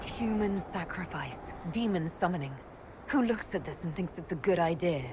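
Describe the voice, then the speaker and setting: A woman's voice: lowish voice, animated, moderate pace, noisy background, close to an everyday voice. An actress playing a young woman elf mage who asks questions in a direct, curious way.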